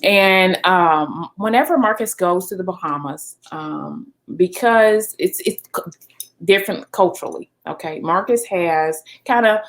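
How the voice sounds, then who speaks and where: Woman, middle-aged, slightly low voice, earnest, casual chat. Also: unhurried, a bit bright. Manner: earnest, with frequent fillers. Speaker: wife co-host. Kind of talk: casual conversation.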